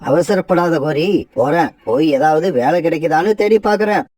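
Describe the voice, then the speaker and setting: A woman's voice: deep voice, lively intonation, normal speed, mellow, no echo, clean studio sound, distant mic. A woman voicing a grown male bird, a weary, henpecked husband in a cartoon, with a pleading, defensive manner.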